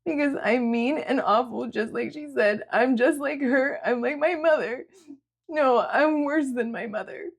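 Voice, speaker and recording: A woman's voice: sits high, a little slow, creaky, husky, pitched above the performer's own voice, heavily acted. A woman voicing a guilt-ridden, needy young woman in a dramatic audiobook, tearful and pleading. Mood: anguished, sobbing. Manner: sobbing, pleading, self-accusing. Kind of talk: dramatic audiobook character acting.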